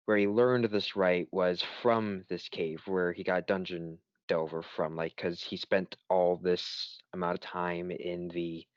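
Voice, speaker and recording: A man with a low voice talks casually as a player in a tabletop game, feeling his way through loose, rambling explanations. The sound is dry and a bit dull, with very little room in it. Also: clean tone, dry recording. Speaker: player in a tabletop role-playing campaign. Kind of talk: casual chat.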